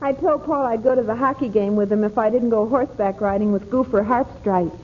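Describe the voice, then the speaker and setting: A naive, perky young woman, played by an actress in 1940s radio comedy. She gushes girlishly in a high voice, over a muffled vintage recording with faint noise. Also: a little fast, natural voice. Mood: excited. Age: young adult. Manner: girlish, gushing slang.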